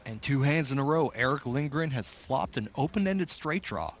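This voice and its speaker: A man's voice: mid-range voice, animated, normal speed, a little hiss or hum. A man giving analysis during a televised poker broadcast, explaining each player's hand and odds.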